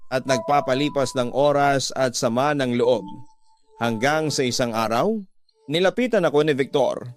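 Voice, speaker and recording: Male radio drama narrator over music. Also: mid-range voice. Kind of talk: scripted first-person storytelling. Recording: music behind the voice.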